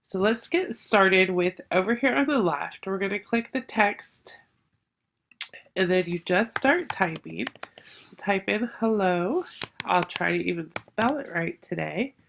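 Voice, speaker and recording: Woman, middle-aged, congested, gravelly fry, tutorial host, friendly step-by-step explaining. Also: medium pitch, animated, measured pace, fairly bright tone, slight background noise. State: congested from a sinus infection. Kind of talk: screen-share software tutorial.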